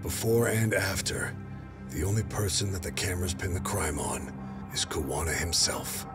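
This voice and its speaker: An actor playing a jaded middle-aged police detective in a video game dub, blunt, sardonic and weary. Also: male voice, sits low, moderate pace, over music, close to an everyday voice. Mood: weary, serious.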